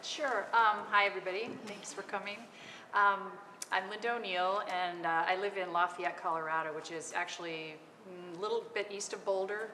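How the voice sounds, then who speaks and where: A middle-aged woman from California, an artist on a panel, talking about her work with many hesitant pauses. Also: medium pitch, steady tone, pushed and bright. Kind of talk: unscripted panel talk. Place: art gallery. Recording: echoey gallery with long reverb and noise.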